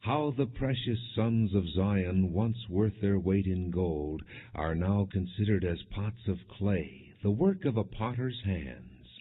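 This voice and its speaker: A man narrating an audiobook, reading scripture in a solemn, measured and dramatic way. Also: low voice, lively intonation, slightly rough. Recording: tinny phone-like narrow-band sound.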